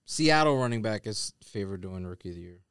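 A man's voice: lowish voice, animated, fast, a bit bright, clear, resonant tone, dry recording. A man on a podcast panel chatting casually, dropping short dry wisecracks. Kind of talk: casual chat with short interjections.

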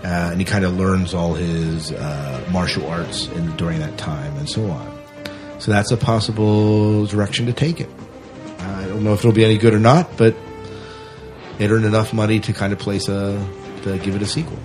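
A man with a fairly low voice hosts a podcast in a relaxed, conversational style. He chats informally, with some music behind him. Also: normal speed. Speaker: podcast host. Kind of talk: casual conversational podcast chat.

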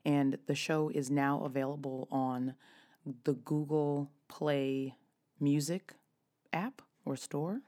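Raspy hoarse woman in her 30s, podcast host chatting solo, pretty monotone, keeps restarting sentences. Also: deep voice, takes it slowly. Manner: chatty, with frequent restarts and fillers. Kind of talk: casual solo podcast talk.

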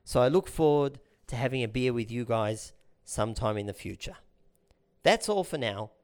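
A middle-aged man teaching an informal lesson in a lively, chatty way, explaining things step by step. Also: medium pitch, moderate pace. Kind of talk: informal talk-show lesson.

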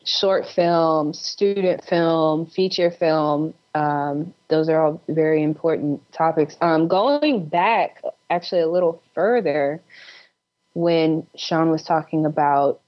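A woman giving casual advice as a filmmaker guest in an interview, deliberate, with pauses between phrases. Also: deep voice, highs cut off.

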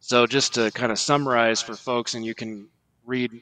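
A man giving formal, measured religious commentary on a podcast, quoting documents and stressing points as he lists them. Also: mid-range voice, fairly even intonation, sharp tone, no echo, low-quality recording.

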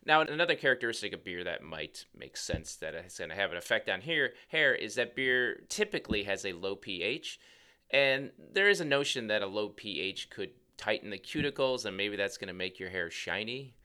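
A man co-hosting a podcast as a cosmetic scientist, with a gravelly voice, explaining things in a relaxed, chatty way. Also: on the low side, normal speed. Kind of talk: casual chat.

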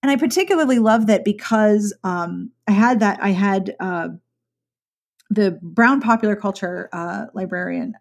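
A woman's voice: medium pitch, unhurried. Chatty, enthusiastic woman podcast host. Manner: enthusiastic, self-deprecating, chatty. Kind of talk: conversational interview.

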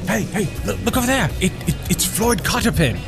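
A man's voice: high voice, animated, fairly quick, gravelly. A man playing a bewildered, hapless slacker who stammers and hesitates through a radio comedy. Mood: hesitant. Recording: music behind the voice.

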